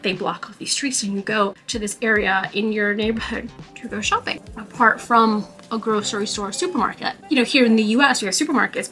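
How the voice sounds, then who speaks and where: A young woman chats to her camera in a relaxed, loose vlog style. Her voice sits a bit higher than most, and her sentences run on with plenty of "like" and "you know." She sounds easygoing and conversational. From New York. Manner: chatty, with frequent "like" and "you know" fillers. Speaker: vlogger. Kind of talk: casual talking-to-camera vlog.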